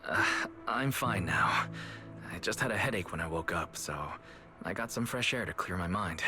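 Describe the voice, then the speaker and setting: A man playing a kind, composed young manager in a game cutscene, speaking in a gentle, measured way. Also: sits low, expressive. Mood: gentle, reassuring. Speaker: video game voice actor. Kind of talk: animated game cutscene dialogue. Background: background music.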